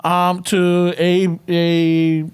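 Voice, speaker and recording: A man's voice: high-pitched, monotone, extremely slow, smooth, no room sound. A man chatting casually with friends, switching between English and Spanish, rambling and swearing as he tells stories.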